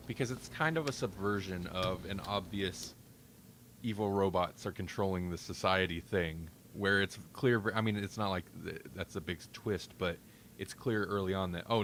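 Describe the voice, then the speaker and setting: A man with a lowish voice co-hosts a casual review podcast. He thinks aloud and hedges his points as he goes. There is some noise behind his voice. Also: normal speed, a bit bright. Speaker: podcast co-host.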